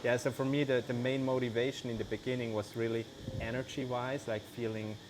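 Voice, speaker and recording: A man, an English speaker, talking casually as an interview guest, loose and relaxed, full of "like" and "you know". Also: medium pitch, brisk, background noise. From Switzerland. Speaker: guest, vegan cheese maker.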